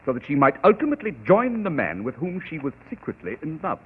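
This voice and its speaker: An actor playing a cool, arrogant master detective in an old radio drama, measured, precise and dryly cutting. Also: male voice, on the high side, wildly swooping pitch, a little hiss or hum. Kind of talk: 1940s radio acting. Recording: tinny old radio broadcast.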